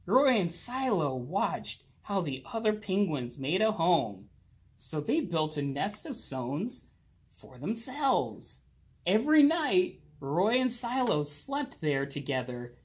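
Male voice, high for a man, husky, very lively intonation, unhurried picture-book read-aloud. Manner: slow, animated, with drawn-out words and pauses. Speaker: children's storybook reader. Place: indoors, library with bookshelves.

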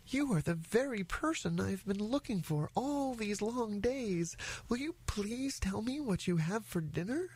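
A man's voice: sits high, animated, unhurried, a little mellow, husky, voice pushed up, full character voice. A man voicing a very polite, innocent young elephant in a storybook, earnest and wordy in his pleading. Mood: breathless, eager. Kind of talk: storybook character voice.

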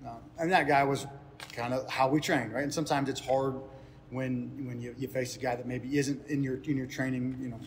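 A man's voice: mid-range voice, fairly even intonation, brisk. A man in his thirties, a sports coach, giving earnest, explanatory unscripted answers in a press interview, often repeating phrases for emphasis. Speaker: head baseball coach. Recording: ambient noise behind speech.

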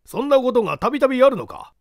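Man acting a commanding judge, pitched up and forceful. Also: sing-song, racing, dry recording, studio quality. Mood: stern, probing. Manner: commanding, interrogating. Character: stern, probing male judge questioning witnesses. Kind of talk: dramatic audiobook reading.

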